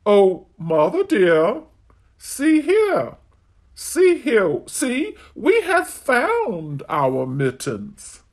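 A small chorus of worried kittens from a nursery rhyme, put on by a storyteller. They speak slowly and plaintively in a lilting singsong. Gentle and childlike. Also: mid-range voice, wildly swooping pitch, full character voice. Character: sad little kittens speaking together.

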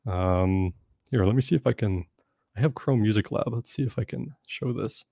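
Young male workshop presenter, lowish voice, laid-back with lots of ums, close dry desk mic. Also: normal speed, vocal fry, no room sound. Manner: relaxed, filler-heavy explaining with frequent "um". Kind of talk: casual online workshop teaching. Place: small room at home. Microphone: desk mic on stand, webcam.

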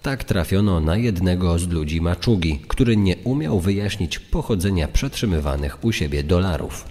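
A man narrates a crime documentary from a script. His pitch is very low, and the voice carries a long reverb. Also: expressive, moderate pace, mellow. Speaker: secondary narrator.

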